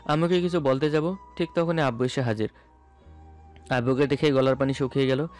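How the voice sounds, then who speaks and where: Male storyteller, slightly low-pitched, first-person dramatic narration with music underneath. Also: steady tone, fairly quick, a little mellow. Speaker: narrator of a romantic audio story. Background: background music.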